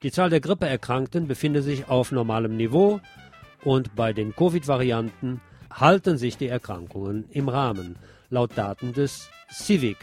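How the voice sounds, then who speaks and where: A man's voice: medium pitch, a little mellow. A man presenting scripted radio news, reading reports and adding wry, sardonic asides.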